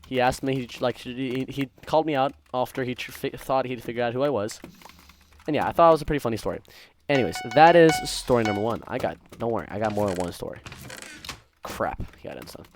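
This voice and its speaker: A young man casually telling stories while gaming, rambling and interrupting himself in a distracted way. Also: mid-range voice. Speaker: gaming content creator. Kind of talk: casual storytelling while playing a game. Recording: faint keyboard typing and clicking noise. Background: computer keyboard typing and clicks.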